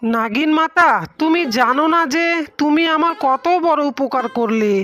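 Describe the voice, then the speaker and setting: A woman's voice: high-pitched, a little slow, fairly bright tone, soft background music. A woman voicing a poor, honest, anxious male day labourer in a cartoon fairy tale, humble and plaintive. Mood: grateful.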